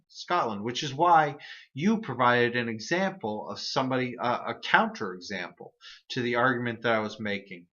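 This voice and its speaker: A man's voice: mid-range voice, fairly bright tone, lo-fi sound. A man hosting his own video series, explaining reasoning errors in a casual, earnest monologue with frequent restarts and repeated words. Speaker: host of an atheist video series.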